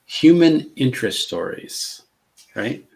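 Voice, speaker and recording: A middle-aged man in casual conversation on a video call, speaking in a measured, calm way with many fillers and restarts. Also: medium pitch, gravelly. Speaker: co-host.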